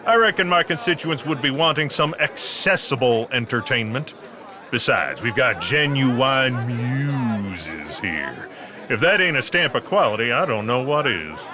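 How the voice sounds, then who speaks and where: An actor playing a boisterous, folksy, glad-handing mayor who talks mockingly in an audio drama. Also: male voice, medium pitch, big pitch swings, a little slow, pushed and bright. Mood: breezy.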